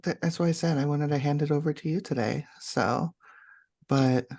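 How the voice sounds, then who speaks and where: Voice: mid-range voice, moderate pace, vocal fry, darkish tone. A podcast co-host asking questions in a friendly, encouraging way, with hesitant fillers between thoughts. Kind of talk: casual interview chat.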